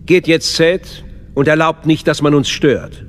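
A man performs an older galactic chancellor for a radio drama. He is measured and courtly, with an unctuous, fatherly manner that hides a schemer. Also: a little high, steady tone, a little hiss or hum, natural voice. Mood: courtly, calculating. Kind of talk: German radio drama acting.

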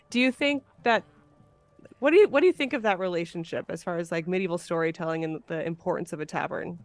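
A woman with an American accent hosting a casual podcast conversation. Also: fairly low pitch. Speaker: podcast host.

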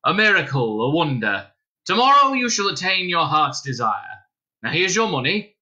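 A male reader puts on a mysterious tall gentleman from a fairy tale. He is formal and courteous with a testy streak, his old-fashioned phrases swinging up and down in a forceful, bright tone. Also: a little high, very animated, normal speed, voice pushed up, heavily acted, poor audio. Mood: testy, grand.

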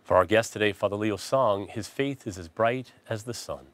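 A man's voice: lowish voice, moderate pace, a bit bright. A middle-aged man, a priest hosting a TV interview show in a studio, reading a scripted intro and then asking conversational questions with small restarts, on a lapel mic. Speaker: priest host.

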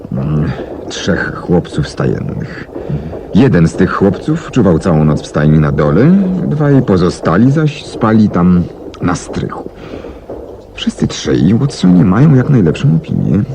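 An actor playing a methodical, self-assured English detective in a 1970s Polish radio play, telling things in a measured, deliberate way with emphatic swings. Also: male voice, deep voice, big pitch swings, vocal fry, exaggerated voice. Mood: methodical, intent. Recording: noisy old 1970s radio recording.

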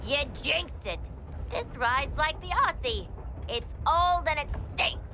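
A woman's voice: high-pitched, lively intonation, takes it slowly, noisy background, exaggerated voice. A woman playing a cheeky, wisecracking young villain with a flippant, sardonic manner in a video game. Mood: teasing. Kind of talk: video game cutscene acting. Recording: background music.

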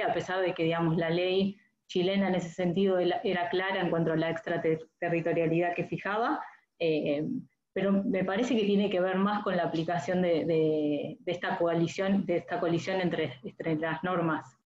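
A woman on an online panel, speaking deliberately and searching for words. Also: on the low side, a little mellow. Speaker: seminar panelist. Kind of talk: online academic panel discussion. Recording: echoey, dull lo-fi call audio.

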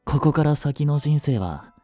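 A man plays a young yandere boyfriend in a romantic audio drama, jealous and possessive. His mellow, intimate voice moves slowly and coaxingly, with wide swings in pitch. He sounds sweetly menacing and controlling. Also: expressive, faint music behind. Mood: soothing, possessive. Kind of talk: Japanese romantic situation voice drama.

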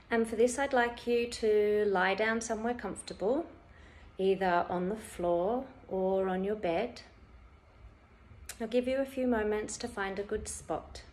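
A woman leading a guided body scan meditation, giving gentle instructions in short phrases with pauses. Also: mid-range voice. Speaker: relaxation session guide.

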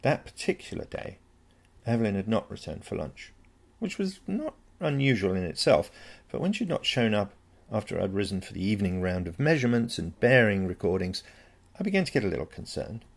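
A man's voice: fairly low pitch, big pitch swings, brisk, gravelly. A man reading a first-person story as scripted narration. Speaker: story reader.

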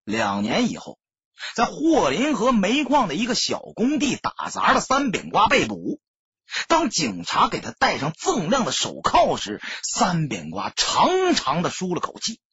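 A man narrating a crime story as an audiobook, in a theatrical, emphatic storytelling style with a husky, raspy voice. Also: a little high, bright, forceful tone, no room sound, dull audio.

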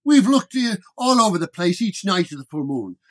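A man voicing a gruff, sour older police sergeant with a brusque, emphatic manner in a detective story. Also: sits high, very animated, normal speed, a bit bright, exaggerated voice. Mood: annoyed, brusque. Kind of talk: storybook detective horror dialogue.

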